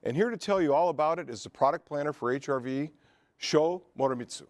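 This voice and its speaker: A man's voice: a little high, fast, no echo. A man presenting at a corporate sales meeting, polished and scripted as he introduces the next speaker.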